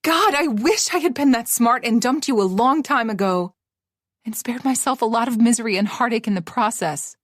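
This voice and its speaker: A woman's voice: slow, few pauses, no room sound, clean studio sound. A woman voicing a frightened young woman who whispers and pleads in panic. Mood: defiant. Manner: breathless whispering, trembling, higher-pitched.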